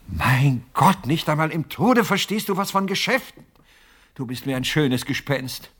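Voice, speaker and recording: An actor in a radio play as a scheming businessman who wheedles self-importantly and is quick to lose patience. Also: fairly high pitch, lively intonation, a bit bright, breathy edge, full character voice. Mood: exasperated. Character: scheming, hot-headed businessman haggling with a ghost.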